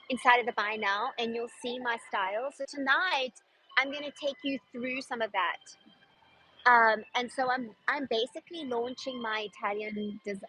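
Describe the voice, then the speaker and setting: A woman's voice: a little high, a bit bright, a little hiss or hum, poor audio. A middle-aged woman with a South African accent, a stylist and designer chatting casually and upbeat with viewers on a live shopping stream. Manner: chatty, upbeat, frequent laughs and restarts.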